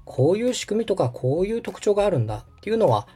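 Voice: mid-range voice, very animated, quick pace, warm tone, breathy edge, faint music behind. A podcast host explaining things step by step in a tutorial manner and checking that the listener follows. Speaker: host and radio director giving a tutorial.

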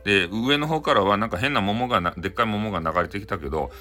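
Chatty guy w/ hakata accent telling a funny folktale, talks fast, bright voice, light music behind. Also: fairly low pitch. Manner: chatty, playful. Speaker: storyteller. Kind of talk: casual improvised comic retelling of a bedtime folktale.

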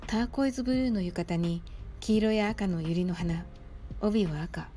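A woman's voice: mid-range voice, moderate pace, mellow, a little hiss or hum. A woman reading scripted narration for a radio drama.

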